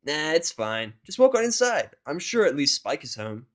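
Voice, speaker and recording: A man's voice: on the high side, sing-song, moderate pace, voice pushed up. A man voicing a brash, cocky female cartoon pegasus pony who teases casually in a fan audio drama. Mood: teasing, coaxing. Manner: casual, boastful, teasing.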